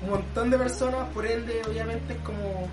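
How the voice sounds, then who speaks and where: A man's voice: extremely high pitch, steady tone, moderate pace. A young man with a Chilean accent chatting casually, rambling in slang and laughing often. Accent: Chilean Spanish. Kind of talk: casual chat. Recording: echoey room with music playing.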